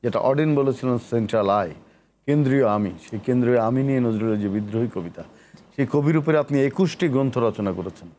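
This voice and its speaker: A man from Bangladesh hosting a literary interview show, speaking in a measured, poetic, declamatory way. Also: medium pitch, animated, mellow, a little hiss or hum. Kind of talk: poetic scripted introduction and interview.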